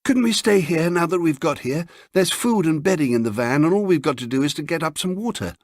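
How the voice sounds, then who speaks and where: An impatient young wife from an audiobook story, as a male narrator plays her. The voice is lifted well up in pitch, brisk and insistent, with a pleading edge. The sound is dry. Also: expressive, no room sound.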